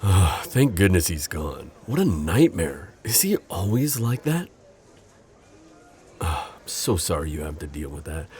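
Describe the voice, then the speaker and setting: A man playing a gentle, protective civilian friend in an audio drama, earnest and hesitant with a slight stammer. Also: low voice, very animated, measured pace. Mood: relieved, sympathetic. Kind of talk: naturalistic audio roleplay drama acting.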